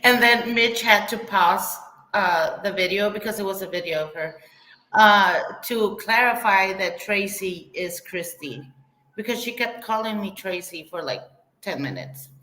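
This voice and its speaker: A woman chats casually as a panel member, speaking slowly at an ordinary pitch. Her delivery has a sarcastic edge, and the room around her is noticeably echoey. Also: pushed and bright. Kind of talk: casual chat.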